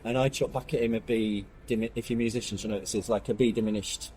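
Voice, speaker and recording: A man's voice: lowish voice, fairly even intonation, fast talker, darkish tone. A man talking as a podcast guest about street piano playing, an enthusiastic storyteller who laughs often. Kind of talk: casual chat.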